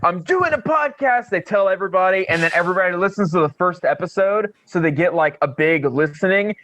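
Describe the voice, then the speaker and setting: A man's voice: high-pitched, lively intonation, a little fast, fairly bright tone, slight background noise. A man chatting casually as a podcast co-host, swearing freely and poking fun at himself by acting out imagined quotes. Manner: sweary, self-deprecating, acts out imagined quotes.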